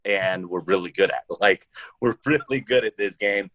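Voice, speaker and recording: A man with a very low-pitched voice gives casual interview answers as a podcast guest. The sound is close and very dry, with no room around him. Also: moderate pace, a bit bright, no echo.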